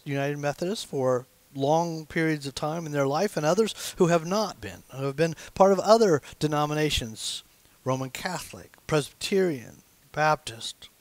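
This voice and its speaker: A man's voice: fairly high pitch, dry recording. Pastor in his sixties, easy conversational sermon at a small church pulpit, dry close sound. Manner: conversational, gesturing with his hands as he preaches. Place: small church sanctuary with stained glass windows.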